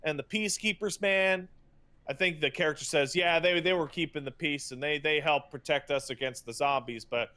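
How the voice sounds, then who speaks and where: A man's voice: a little high, bright, forceful tone. A man hosting a podcast, ranting casually about video games in an emphatic, profane, stop-and-start way. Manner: emphatic, profane, halting with repetitions and mocking paraphrases. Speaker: podcast host.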